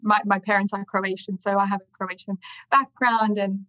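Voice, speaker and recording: A woman's voice: medium pitch, flat delivery, normal speed, fairly bright tone, no room sound. A woman with an Australian accent, a lawyer answering interview questions hesitantly with many ums, over a video call. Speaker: guest lawyer. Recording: dull video call audio.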